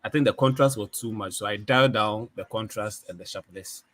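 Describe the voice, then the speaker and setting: A man with a deep voice chatting casually on a live video call, relaxed and halting, with many restarts. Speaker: photographer and video creator. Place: home studio with ring light. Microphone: USB desk microphone on video call.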